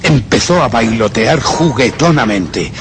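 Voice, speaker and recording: An actor playing a cold, vengeful murderer who narrates a radio horror drama in deliberate, literary Castilian Spanish. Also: male voice, medium pitch, lively intonation, very noisy. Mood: cold, sinister. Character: cold, vengeful male murderer recounting his crime.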